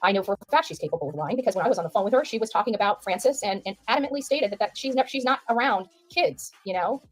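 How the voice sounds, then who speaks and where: A woman's voice: mid-range voice, animated, rapid-fire, dry recording. A woman giving informal livestream commentary, emphatic and repeating phrases for stress.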